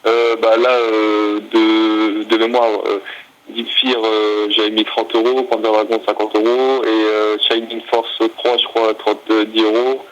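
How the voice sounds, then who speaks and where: A young adult man speaking politely and haltingly on a phone call, apologising and repeating himself as he negotiates a purchase. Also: on the low side, level tone. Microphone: telephone. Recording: telephone line with faint noise.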